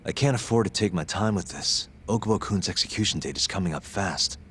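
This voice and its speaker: A man's voice: low-pitched, animated, brisk, a bit bright, a little hiss or hum. A man playing a cool, wry, determined detective in a video game cutscene. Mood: focused.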